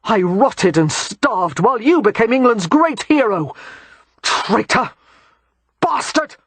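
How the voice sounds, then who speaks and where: A man voicing a bitter, traumatized male ex-soldier who shouts his accusations in a strained, tearful voice. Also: sits high, moderate pace, slightly rough, no room sound. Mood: furious. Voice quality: strained, near tears.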